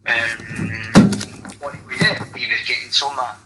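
Man, Italian accent, mid-pitched, hesitant and wry, digressive radio critic, informal commentary, clearly noisy recording. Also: fairly even intonation, takes it slowly. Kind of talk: informal spoken theatre listings commentary.

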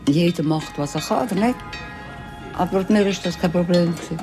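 A woman artist recollects in plain, loosely built sentences. Her voice is a little low. Music is clearly heard behind her. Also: brisk.

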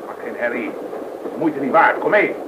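An actor playing a rude, curt thug with a colloquial way of talking in a radio play. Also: male voice, mid-range voice, animated, normal speed, lightly acted. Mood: rude, irritated. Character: gruff, rude male thug hunting through a train. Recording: muffled, noisy old radio recording.

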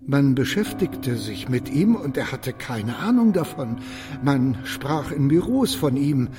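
A man acting a suspicious, secretive loner afraid of arrest in a radio drama, uneasy, halting and over-insistent. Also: medium pitch, sing-song, music in the background. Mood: agitated. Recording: distant from the microphone.

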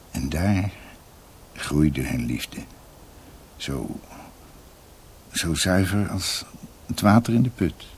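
A grave radio-play narrator looking back on the past. The voice is extremely low and slow. He muses and pauses between phrases, over a faint hiss. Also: heavily acted. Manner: measured, pausing, musing. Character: grave, reflective man recounting his family's past. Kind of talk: dramatic storytelling in a radio play.

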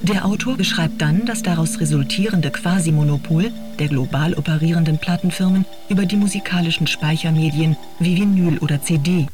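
An actor voicing a narrator who reads a scholarly paper aloud in formal, scripted prose. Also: male voice, a little high, fairly quick, few pauses, a little mellow, close to an everyday voice. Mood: factual. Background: music.

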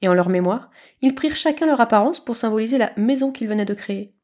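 A woman reading scripted fiction aloud as an expressive storyteller, at a medium pitch. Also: fast talker. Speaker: narrator, podfic reader.

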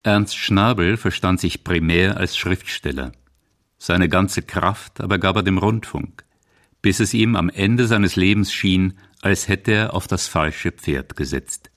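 A man's voice: low voice, measured pace, a bit bright. A man reading scripted narration for a radio documentary as its author and narrator.

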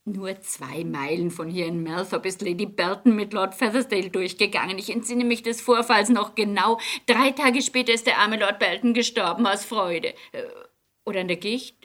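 An actress playing a kindly, gossipy older society hostess in a 1960s German radio play, genteel and effusive. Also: female voice, mid-range voice, moderate pace, fairly bright tone, lightly acted. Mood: chatty, genteel.

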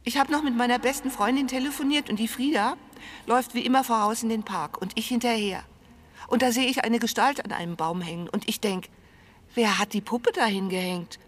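Female dog-walking witness, fairly high voice, chatty, earnest, radio drama statement. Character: female dog-walker witness giving a shaken police statement.

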